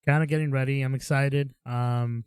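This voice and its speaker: A young man co-hosting a casual podcast, rambling and animated as he tells stories. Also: medium pitch, normal speed, smooth, no echo. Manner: rambling and animated, with upbeat storytelling. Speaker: podcast co-host. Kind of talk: casual chat.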